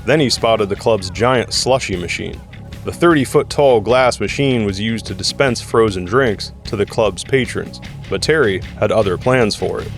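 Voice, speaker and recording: A man's voice: lowish voice, moderate pace, faint music behind. A man reading dramatic scripted narration for an action audio drama.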